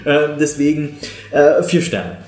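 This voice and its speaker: A man's voice: a little high, animated, slow, darkish tone. A man gives casual, opinionated film reviews in a conversational way. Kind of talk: casual spoken review to camera. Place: small living room, on a sofa. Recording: roomy indoor echo, music behind.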